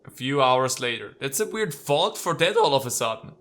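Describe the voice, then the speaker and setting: A man's voice: mid-range voice, big pitch swings, normal speed, fairly bright tone. A man playing a visual novel, reading its text aloud and adding wry, casual commentary. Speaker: let's player.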